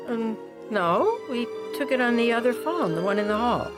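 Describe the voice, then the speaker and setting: A woman's voice: on the high side, sing-song, normal speed, over loud music. A woman playing an anxious, insistent mother and wife in a radio drama, earnest and pleading. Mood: uneasy, explaining.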